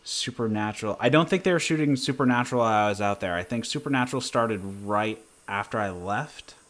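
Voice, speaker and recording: A man from New Hampshire hosts a relaxed chat on his channel. His voice sits a bit low, and he rambles from one story to the next at an easy, unhurried pace. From New Hampshire, USA. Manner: rambling, laid-back storytelling. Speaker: channel host. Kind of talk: casual livestream chat and Q&A.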